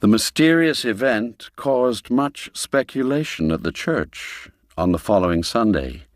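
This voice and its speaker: A man narrating a classic literary tale in a measured, dramatic storytelling manner, phrase by phrase. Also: medium pitch, animated, no echo, clean studio sound. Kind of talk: scripted literary narration, audiobook reading.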